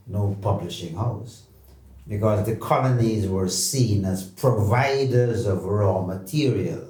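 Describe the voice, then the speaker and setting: Guy, really low voice, talks slow and measured, sounds far off the mic. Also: warm tone. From Guyana. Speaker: writer and poet. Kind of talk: documentary interview. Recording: roomy, distant mic.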